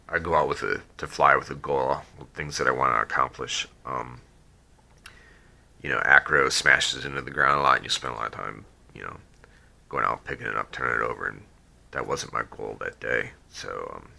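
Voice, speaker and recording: A man with a cold, sniffly and croaky, casually explaining a drone build at his workbench, rambling with many ums and restarts. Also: very deep voice, pushed and bright. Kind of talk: casual how-to build video.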